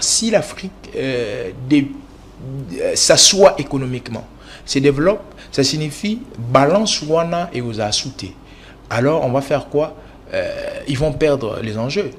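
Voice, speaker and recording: A man's voice: mid-range voice, a little hiss or hum. Hesitant Congolese political analyst man. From Congo (DRC). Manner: hesitant, many fillers and restarts, lecturing. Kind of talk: interview answers.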